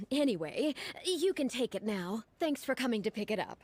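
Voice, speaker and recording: A woman's voice: a little high, lively intonation, moderate pace, a little hiss or hum, close to an everyday voice. A woman playing a polite, helpful attendant to a noble lady in a video game, with a courteous, obliging manner. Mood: chatty, apologetic.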